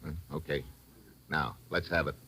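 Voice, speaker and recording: An actor playing a tough, sardonic American agent, narrating and talking in hard-boiled old-time radio drama style. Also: male voice, a bass voice, fairly even intonation, measured pace, sharp tone, breathy edge, full character voice. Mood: impatient. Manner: sardonic, slangy.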